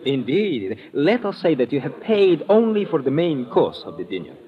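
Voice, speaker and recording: An actor playing an oily, money-hungry French restaurant owner in an old radio drama, with florid, ingratiating talk and dropped articles. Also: male voice, a little high, very animated, normal speed. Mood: sly, playful. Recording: muffled old radio recording with music.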